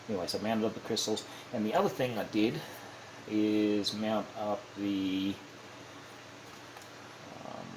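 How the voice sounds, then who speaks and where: A man giving a casual, unscripted hands-on demonstration, halting and thinking aloud as he goes, often correcting himself. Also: on the low side. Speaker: hobbyist demonstrating a kit build. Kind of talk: casual unscripted how-to narration. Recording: clearly noisy amateur home recording.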